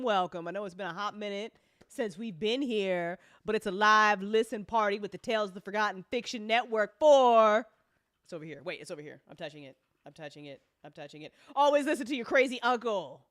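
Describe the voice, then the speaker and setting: An excitable host chatting casually on a live stream, swearing freely and repeating phrases for emphasis. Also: medium pitch, no room sound, studio quality. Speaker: live stream host and audio drama co-creator.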